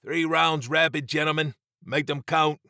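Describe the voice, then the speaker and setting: Commanding American agent for horror audiobook, read by a man: assured, brisk, dryly understated, close dry sound. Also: a little high, fairly even intonation, sharp tone, smooth, dry recording, voice pushed up. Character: commanding, cool American military agent, male.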